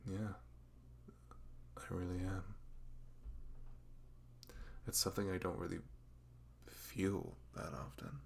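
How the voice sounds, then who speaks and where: A man playing a dorky, smitten young best friend in an intimate ASMR roleplay, speaking in a halting, awkward, rambling way. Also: deep voice, flat delivery, creaky, slight background noise, exaggerated voice. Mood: tender, vulnerable.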